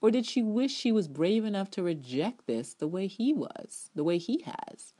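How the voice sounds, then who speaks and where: A woman's voice: mid-range voice, big pitch swings, moderate pace, dark tone, breathy edge. A woman reviewer giving a dramatic reading of a written TV review, emphatic and opinionated, drawing out key words and adding offhand asides. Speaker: TV episode reviewer.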